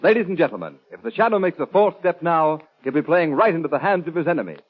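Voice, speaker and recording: A thin, phone-like vintage broadcast carries a male announcer reading an advert. His pitch is high and lively, with dramatic, emphatic salesmanship in the 1930s manner. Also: fast, loud music behind the voice. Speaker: radio announcer. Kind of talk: 1930s radio advertising read. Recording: tinny, phone-like 1930s radio recording.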